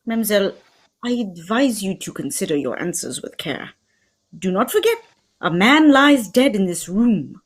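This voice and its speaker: A woman playing a fussy, courtly Belgian male detective in a radio mystery, speaking formally and deliberately, with theatrical precision. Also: medium pitch, very animated. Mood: probing, stern. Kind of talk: old-time radio mystery script reading.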